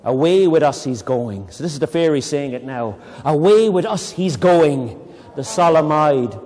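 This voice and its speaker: An Irish man reading a poem aloud to children, reciting slowly and dramatically and breaking off into playful, chatty explanations. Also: a little high, lively intonation, darkish tone. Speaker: poetry reader leading children. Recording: long reverb in a hall.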